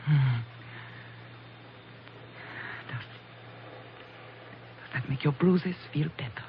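A woman's voice: low-pitched, extremely slow. An actress playing a languid, seductive worldly woman in a 1940s radio spy drama, speaking intimately with lingering hesitations. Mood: intimate, wistful. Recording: muffled, noisy 1940s radio recording.

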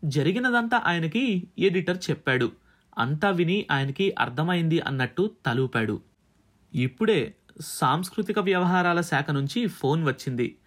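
Expressive high-pitched male audiobook narrator. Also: normal speed. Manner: dramatizes dialogue between characters. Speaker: audiobook narrator, radio jockey.

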